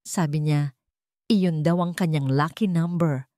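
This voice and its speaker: A woman's voice: deep voice, steady tone, a little slow, mellow, dry recording, studio quality. A woman reading a scripted dramatic story as a storyteller.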